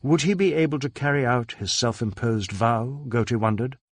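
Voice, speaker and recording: A man's voice: mid-range voice, normal speed, breathy edge, no room sound, clean studio sound. A man reading a literary crime story as a scripted narration. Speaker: audiobook narrator.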